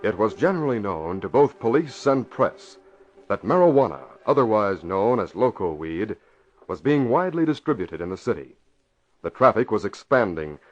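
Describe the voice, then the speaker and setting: An American man narrates an old radio drama in a grave, measured way. The recording is muffled and dated. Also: lowish voice. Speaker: story narrator.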